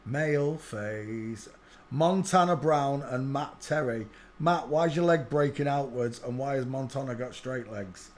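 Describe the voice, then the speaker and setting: A man hosting his own channel, giving brash, scoffing commentary over video clips, with quick asides. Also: mid-range voice.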